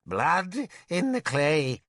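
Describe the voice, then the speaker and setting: A man's voice: on the high side, sing-song, extremely slow, fairly bright tone, no room sound, studio quality, voice pushed up, full character voice. A man reading an audiobook, voicing a broken, mad, blind old man who begs like a child and babbles on. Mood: rambling. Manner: whimpering, babbling, childlike begging. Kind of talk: audiobook character voice.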